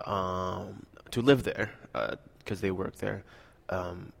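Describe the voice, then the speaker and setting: Young man from Indonesia, low-pitched, hesitant interviewee with reverb. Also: fairly even intonation, slow pace, creaky. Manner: hesitant, many fillers. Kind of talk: casual conversation.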